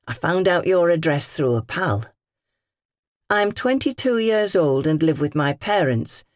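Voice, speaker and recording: A woman's voice: deep voice, a little mellow, dry recording, clean studio sound. A British woman narrator voicing an earnest, formal young working man reading out his polite letter in an audiobook. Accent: British English.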